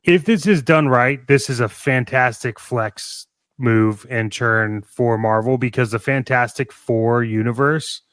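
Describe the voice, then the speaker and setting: A man's voice: mid-range voice, measured pace, fairly bright tone, no echo, studio quality. A man chatting casually as a podcast co-host, an enthusiastic fan who rambles and thinks aloud as he goes. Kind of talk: casual fan chat about toys and films.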